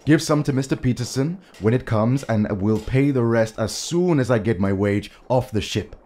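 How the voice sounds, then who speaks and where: A man's voice: mid-range voice, moderate pace, mellow, close to an everyday voice. An actor playing a proud young dock worker and father from Sierra Leone, earnest and formal in an audio drama.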